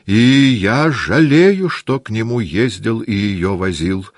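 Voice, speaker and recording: A man's voice: lowish voice, expressive, slow pace, no room sound, voice pushed up, heavily acted. A male narrator acts out a mild old count from a classic novel. He sounds agreeable, earnest and regretful. The recording is dry and close.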